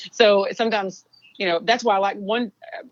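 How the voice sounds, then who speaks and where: A Texan songwriter, a woman, chatting in an interview, relaxed and rambling with lots of fillers. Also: normal speed, highs cut off, a little hiss or hum. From Texas. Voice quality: low singing voice. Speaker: songwriter guest. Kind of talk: casual interview chat.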